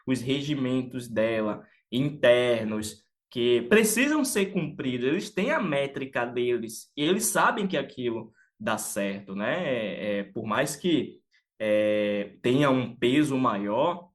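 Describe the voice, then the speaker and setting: A man's voice: mid-range voice, expressive, slow. A man from Salvador, co-hosting a casual sports podcast and talking with many fillers and restarts. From Salvador, Bahia, Brazil. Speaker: podcast co-host.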